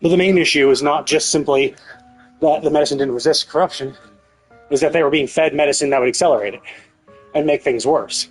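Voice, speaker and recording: Rambling man, slightly high and husky. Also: brisk, soft background music, low-quality recording. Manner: rambling, repeats and rephrases, musing aloud. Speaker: live game streamer. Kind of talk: casual game stream commentary.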